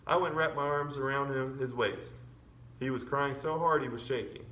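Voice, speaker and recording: A man's voice: mid-range voice, fairly even intonation, normal speed, bright, forceful tone. A man, a fourth grade teacher, reading a children's chapter book aloud expressively. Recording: roomy echo with background noise.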